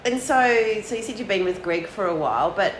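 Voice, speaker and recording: A woman's voice: medium pitch, lively intonation, a little fast. A woman interviewing someone casually, asking curious questions and giving frequent short affirmations. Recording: roomy echo, faint background noise.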